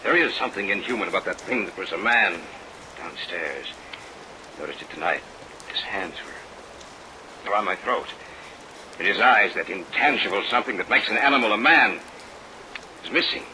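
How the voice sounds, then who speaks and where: Low-voiced, commanding, troubled scientist father. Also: expressive, moderate pace, pauses often. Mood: grave, remorseful. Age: middle-aged. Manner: authoritative, melodramatic. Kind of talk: vintage radio play acting. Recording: noisy vintage radio recording under rain.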